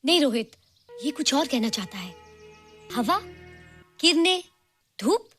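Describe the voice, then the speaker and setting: A woman's voice: high-pitched, big pitch swings, unhurried, fairly bright tone, full character voice. An actress playing a kind, thoughtful young woman in a Hindi film, with faint music behind her. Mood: earnest, curious.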